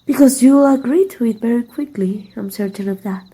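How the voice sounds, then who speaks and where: A woman's voice: on the high side, moderate pace, dark tone, close to an everyday voice. A woman playing a flirtatious, confident villain who is secretly fond of her rival, in an intimate, teasing ASMR roleplay. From Mexico. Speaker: amateur voice actor.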